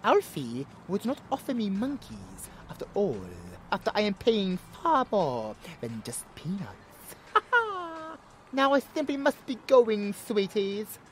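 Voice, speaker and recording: Casting: smug, genteel vampire club owner; theatrical, unhurried, hugely swooping delivery, noisy ambience behind. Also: male voice, high voice, wildly swooping pitch, full character voice. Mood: condescending, playful. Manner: theatrical, purring, condescending. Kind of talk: audio drama acting.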